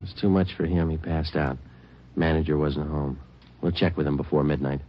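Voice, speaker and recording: A man's voice: extremely low pitch, steady tone, fairly quick. A man playing a terse, stoic police detective in an old radio drama, clipped and matter-of-fact. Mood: deadpan, businesslike. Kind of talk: 1950s radio drama narration and dialogue. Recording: dull old radio recording, music behind.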